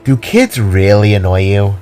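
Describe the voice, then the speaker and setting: A man voicing a playful, hopeful young female teacher creature in an audio drama, gently teasing, over music. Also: on the low side, expressive, unhurried. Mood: curious. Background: background music.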